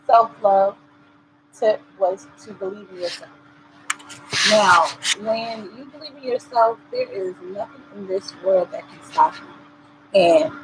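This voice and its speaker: A woman with a cold-hoarsened voice hosting a motivational talk, speaking earnestly and encouragingly and repeating her key points. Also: medium pitch, background noise. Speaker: host. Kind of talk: motivational talk show monologue. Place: at home.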